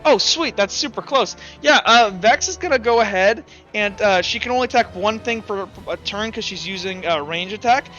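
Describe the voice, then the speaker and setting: A man's voice: sits high, normal speed, few pauses, sharp tone, lo-fi sound, soft background music. A man running a tabletop game as game master, rambling with frequent restarts.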